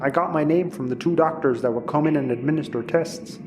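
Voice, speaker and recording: An actor playing a frightened, grateful, eager cult follower in an audio comedy, earnest and pleading. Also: male voice, a little high, a little fast, music in the background, lightly acted. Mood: earnest recounting. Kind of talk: audio comedy drama acting.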